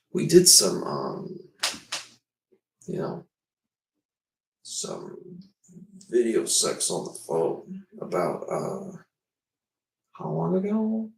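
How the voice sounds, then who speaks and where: A man's voice: mid-range voice, gravelly, darkish tone, recorded from a distance, a little hiss or hum. A man in his twenties chatting casually on a livestream, rambling and meandering with self-deprecating remarks. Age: 20s. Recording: echoey room, away from the mic.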